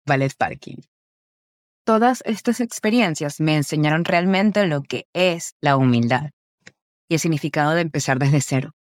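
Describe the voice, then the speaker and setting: A woman in her twenties with a Venezuelan accent, hosting a podcast in a scripted, personal narration with articulate, deliberate phrasing. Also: sits low, dry recording, studio quality. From Caracas, Venezuela. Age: 20s. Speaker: podcast host.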